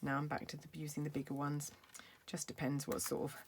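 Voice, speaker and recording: Low-voiced woman, chatty craft demo. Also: monotone, fairly quick, creaky, slight background noise. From United Kingdom. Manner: chatty, thinking aloud while working. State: slightly croaky. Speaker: craft demonstrator. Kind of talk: casual craft tutorial. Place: craft table indoors.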